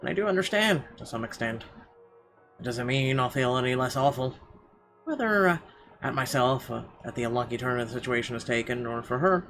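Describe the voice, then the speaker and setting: A man reading visual novel narration aloud, with a halting, stumbling delivery. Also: mid-range voice, lively intonation, over music. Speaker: gameplay video host.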